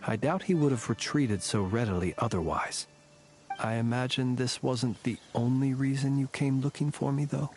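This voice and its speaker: A male actor voices a stoic, courtly warrior from a video game. His voice sits at a medium male pitch, and he speaks in measured, old-fashioned, ornate sentences with a dry wit. Music plays softly behind him. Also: lightly acted. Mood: solemn, resolute. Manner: formal, archaic, eloquent, measured. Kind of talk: scripted video game character dialogue. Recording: game audio with background music.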